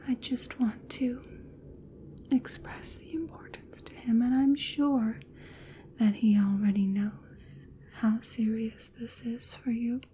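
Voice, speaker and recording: A woman playing an evil, proud and doting witch puppet master in a polite, breathy ASMR whisper with occasional softly voiced words. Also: on the high side, fairly even intonation, a little hiss or hum. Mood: sinister, calm, businesslike. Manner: unhurried, polite, hushed, deliberate with repeated affirmations.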